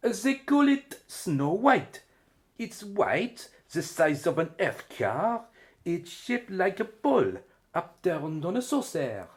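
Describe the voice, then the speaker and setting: Woman acting a sulky underling, lowish lively voice. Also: slow. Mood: explaining. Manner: grudging, defensive. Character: reluctant, sulky, timid underling fearing bigger bullies. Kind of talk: audio drama acting.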